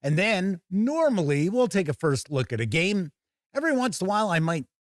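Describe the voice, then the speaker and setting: A man hosting a casual live tabletop gaming stream, a little raspy and hoarse from being under the weather, talking in a folksy, upbeat way. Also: fairly high pitch, no room sound, clean studio sound. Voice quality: slightly raspy. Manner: upbeat, folksy greetings, chatty. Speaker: host. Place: home studio.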